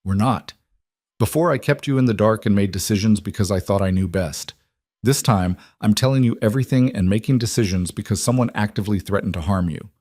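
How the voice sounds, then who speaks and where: Man acting male mafia boss, low pitch, cold resolve, protective, measured intensity, dry audiobook sound. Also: darkish tone, no echo, natural voice. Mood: earnest, firm. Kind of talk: audiobook character dialogue.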